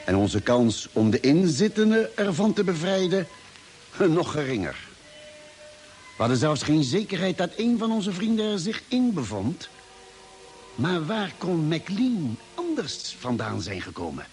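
An actor playing a thoughtful ship's doctor who narrates a science-fiction radio drama in a measured way. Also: male voice, fairly high pitch, very animated, a little mellow, soft background music. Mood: reflective. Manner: measured, reflective.

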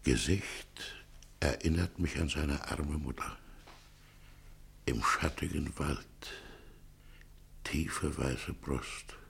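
An actor playing an anxious, courteous middle-aged man in a radio drama, with a gravelly, husky voice and a hesitant, muttering way of talking. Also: a bass voice, very slow, pauses often, a bit bright, full character voice. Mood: musing, tender. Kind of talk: literary radio drama acting.

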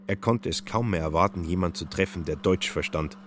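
A man's voice: low-pitched, a little fast, a bit bright, a little hiss or hum. A man narrating a literary radio play with scripted, storytelling delivery.

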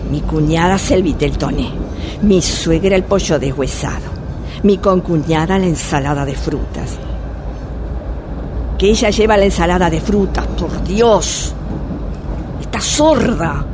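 Clear subway noise surrounds an actress playing an exasperated blind woman vendor in a radio play. Her Rioplatense Argentine speech is slow and emphatic, swinging widely in pitch as she complains with sarcasm. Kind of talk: radio drama monologue as phone call. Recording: loud subway train noise throughout. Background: subway ambience.

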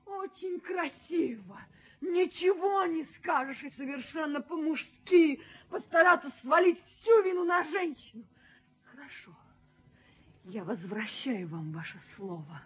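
A very high, theatrical young woman's voice plays a proud, hot-tempered fiancée in a 1970s radio play. She is imperious and easily wounded. The recording is muffled and lo-fi. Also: expressive, unhurried. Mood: furious, tearful. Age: young adult. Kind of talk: Soviet radio play acting.

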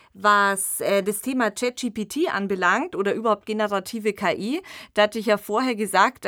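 A woman speaks as a podcast co-host. Her voice sits at an average pitch, and she explains ideas in a reflective, conversational manner. The sound is dry, with no room around it. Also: fairly bright tone, dry recording.

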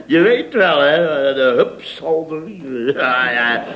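A man's voice: fairly high pitch, animated, slow pace, dull audio, slight background noise. An actor playing a flustered, indignant, chatty man in a radio comedy, rambling and repeating himself as he tells his story. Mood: sheepishly amused. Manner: rambling, repetitive storytelling with stammers and rhetorical tags. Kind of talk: radio comedy acting in a dialogue sketch. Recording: radio recording with audience behind.

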